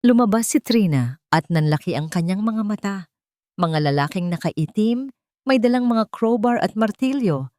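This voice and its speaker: A woman narrating a dramatic romance story in a steady, dramatic scripted style. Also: mid-range voice, no room sound, studio quality.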